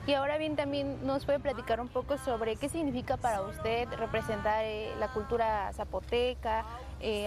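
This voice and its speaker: A woman hosting an interview, asking polite, formal questions with frequent fillers. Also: fairly high pitch. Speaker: interviewer and host. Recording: music playing under the voice.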